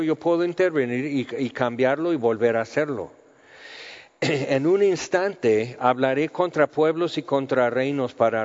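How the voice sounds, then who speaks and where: A man's voice: medium pitch, darkish tone. A man preaching a sermon, talking conversationally and asking rhetorical questions. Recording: muffled audio with long hall reverb.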